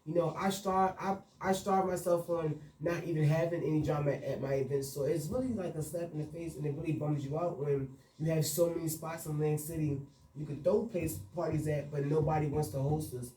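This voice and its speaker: A young man from New with a soft, slightly high and mellow voice talks earnestly about his struggles, filling his sentences with "you know" and "like." He sounds a bit away from the mic in a roomy studio, with a faint hiss behind him. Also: fairly even intonation, a little fast, far from the mic. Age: young adult. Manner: earnest, frustrated storytelling with frequent fillers. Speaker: guest, party promoter. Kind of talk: radio interview.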